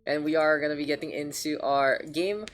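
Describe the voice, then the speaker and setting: A man's voice: on the high side, steady tone, brisk. A man with a Filipino accent casting an esports match on a live stream, chatty and rambling, often repeating himself. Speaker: esports caster.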